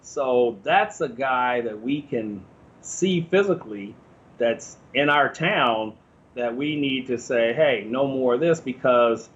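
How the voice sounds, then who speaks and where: A man's voice: medium pitch, slow, muffled recording. An American man speaking informally as an interview guest, explaining his opinions in a conversational way.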